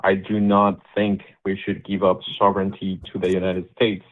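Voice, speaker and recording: A man's voice: low-pitched, monotone, normal speed. A Venezuelan-born man calling in to a political talk stream, arguing insistently and talking over the others with many restarts. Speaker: caller. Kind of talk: heated call-in debate. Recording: tinny phone line.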